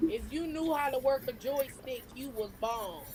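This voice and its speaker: A woman in her forties, loud, blunt and slangy, joking constantly in a casual group chat. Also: high-pitched, moderate pace. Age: 40s.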